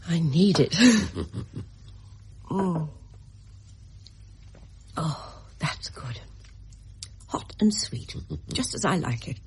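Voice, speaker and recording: A woman's voice: sits low, animated, very slow, pauses often, a little mellow, slight background noise, full character voice. A woman playing a genteel, anxious widowed mother in a radio mystery drama, with a polite, refined, fretful manner. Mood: pleased, affectionate.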